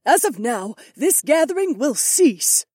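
A woman's voice: high-pitched, big pitch swings, unhurried, dark tone, no echo, clean studio sound. A woman playing a stern, imperious fantasy queen in an audiobook, with a haughty, formal, commanding delivery. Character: stern, imperious demi-human queen addressing her subjects. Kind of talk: dramatised audiobook acting.

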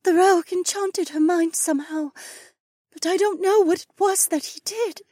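A man voicing a distant, weary young oryx-woman captive who speaks softly and faintly, strained and close to tears. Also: extremely high pitch, moderate pace, dry recording, pitched above the performer's own voice. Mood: faint, anxious.

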